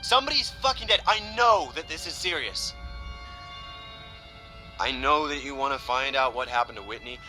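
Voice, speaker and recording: A man's voice: mid-range voice, animated, normal speed, long pauses, sharp tone, soft background music. A young man with an American accent playing a protective, exasperated, foul-mouthed best friend in a naturalistic audio drama. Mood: exasperated, heated. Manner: blunt, profane, slangy.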